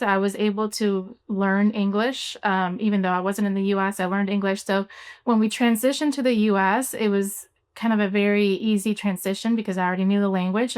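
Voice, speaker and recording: A young adult woman from Cuba, an orthodontist, answering interview questions in a relaxed way with many fillers. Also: mid-range voice, smooth. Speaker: orthodontist guest.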